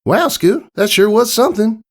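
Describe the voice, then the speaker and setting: A man's voice: high voice, expressive, moderate pace, pitched above the performer's own voice. A man voicing a blunt, curious young country girl pony with a folksy, teasing manner in a storybook reading. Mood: amused, teasing.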